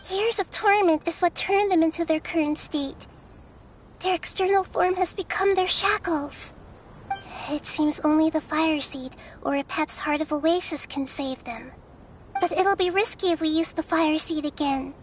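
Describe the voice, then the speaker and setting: A woman voicing a wise, kind young girl god in video game dialogue, gentle and reflective. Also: extremely high pitch, fairly even intonation, normal speed, slight background noise. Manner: gentle, reflective, soft-spoken.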